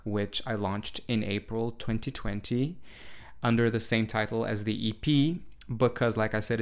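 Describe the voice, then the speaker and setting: Smooth low-voiced man, reflective. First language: Portuguese. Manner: reflective, meandering, many fillers and restarts. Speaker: podcast host. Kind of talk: solo podcast monologue.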